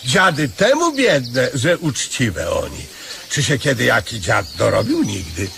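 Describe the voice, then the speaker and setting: A man's voice: medium pitch, very animated, measured pace, vocal fry, noisy background, exaggerated voice. An actor playing a wily, anxious male beggar with a folksy, stammering delivery, in a radio comedy drama. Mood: wheedling.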